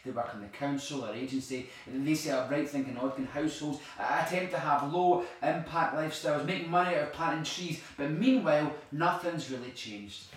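Militant eco-activist , male actor, uncompromising and emphatic, forceful delivery, off-mic in a reverberant space. Audio play. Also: medium pitch, close to an everyday voice. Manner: preachy, emphatic, argumentative. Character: angry militant climate activist man, righteous and uncompromising. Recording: very echoey room, distant, faint noise.

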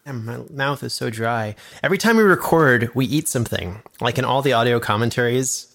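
A man's voice: mid-range voice, animated, fairly quick, gravelly, noisy background. A man voice actor rambling and joking around between recording takes. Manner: rambling, jokey, self-mocking. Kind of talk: goofy chat between takes.

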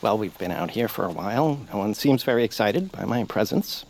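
An actor playing a timid, fussy, self-deprecating radio hero in a comedy audio drama, halting and apologetic. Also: male voice, on the low side, animated, creaky, darkish tone, slight background noise, rough recording. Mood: dejected. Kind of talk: audio fiction comedy acting.

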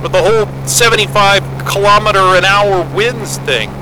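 A man's voice: sits high, normal speed, noisy background, lightly acted. An actor with an American accent playing an affable, candid male scientist new to Antarctica in an audio drama. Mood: startled. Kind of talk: naturalistic audio drama acting.

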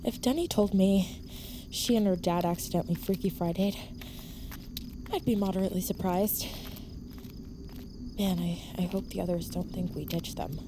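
A woman's voice: mid-range voice, normal speed, pauses often, background noise, lightly acted. A woman playing a wisecracking young woman on the run in a naturalistic audio drama, with a wry, incredulous manner. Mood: wry, urgent.

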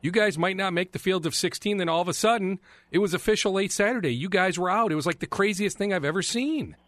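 A man's voice: high-pitched, fast, a bit bright, no room sound. A middle-aged man hosting a casual podcast, chatty and emphatic, his voice just back after being lost. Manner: chatty and emphatic, leaning on key words. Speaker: podcast host. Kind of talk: casual solo podcast talk.

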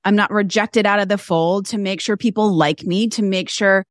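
A woman guest on a podcast interview, talking about business in an animated, emphatic way and building her points through repeated phrases. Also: mid-range voice, normal speed, no room sound, studio quality. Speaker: guest, fashion stylist and business mentor.

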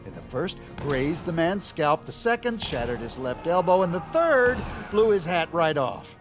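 Exasperated, swooping political insider. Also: male voice, a little high, very animated, normal speed, music in the background. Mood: urgent warning. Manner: incredulous, sputtering, sarcastic. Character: exasperated, cynical male political insider, jumpy and profane. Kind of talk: audio fiction drama acting.

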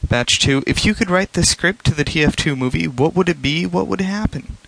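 A man's voice: fairly high pitch, fairly quick, vocal fry, a bit bright, slight background noise, poor audio. A man delivering a prepared voice message as a listener sending in questions.

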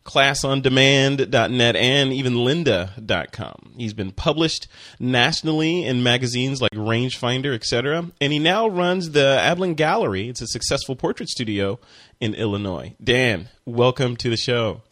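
A man hosting a photography podcast, reading a sponsor spot and interviewing a guest in a relaxed, chatty way with many fillers and restarts. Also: medium pitch, normal speed. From Chicago. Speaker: podcast host. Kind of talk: casual interview and ad read.

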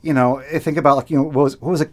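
A man's voice: a little high, steady tone, rapid-fire, darkish tone. A middle-aged man from the United States, talking casually in an interview, rambling with restarts, fillers and frequent laughs. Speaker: radio host, interviewee.

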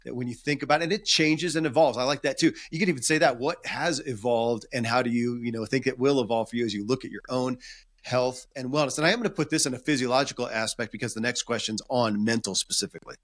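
A man's voice: medium pitch, fast talker, a bit bright. A man hosting a podcast interview, asking long, rambling, conversational questions.

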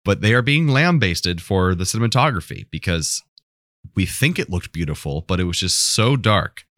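A man's voice: low voice, expressive, moderate pace, a bit bright, dry recording. A man co-hosting a podcast, explaining his thoughts in a casual, conversational way. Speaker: podcast co-host. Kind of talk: casual chat about a TV show.

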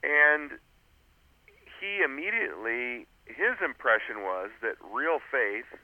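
Creaky-voiced man on a tinny phone line. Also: mid-range voice, lively intonation, slow pace, no room sound. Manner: earnest, anecdotal, with frequent "uh" and restarts. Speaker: author and interview guest. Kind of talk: storytelling on a radio interview.

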